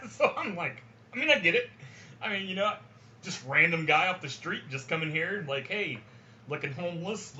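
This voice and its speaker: A man hosts his own podcast, spinning a rambling, crude story and breaking into laughter along the way. His voice sits a little high and has a bright, forceful edge. The recording is slightly dull, with a faint hiss underneath. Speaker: podcast host. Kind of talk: casual storytelling monologue.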